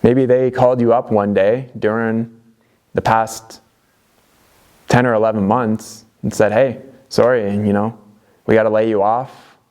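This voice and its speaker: A man's voice: on the low side, steady tone, measured pace, darkish tone. A young Canadian man with a Canadian accent, talking earnestly to camera in a conversational motivational vlog, with plenty of fillers and restarts. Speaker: DIY vlogger.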